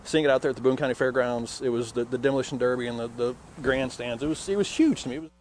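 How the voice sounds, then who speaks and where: A middle-aged man answering interview questions off the cuff, in a halting way with repeated words. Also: mid-range voice, a little mellow, slight background noise. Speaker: interviewee, local resident.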